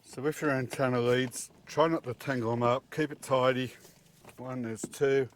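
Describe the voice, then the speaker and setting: A man's voice: medium pitch, steady tone, normal speed, a little hiss or hum. A middle-aged man walks through a job step by step in a casual, plain-spoken, practical way. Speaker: DIY presenter. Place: outdoors at a car engine bay and inside the vehicle cab.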